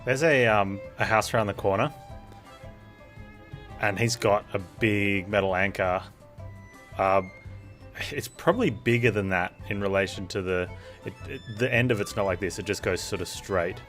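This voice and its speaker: A woman's voice: a bass voice, moderate pace, long pauses, a bit bright. A woman giving casual live commentary on a game, with rambling, offhand asides. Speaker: game streamer. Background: faint game music.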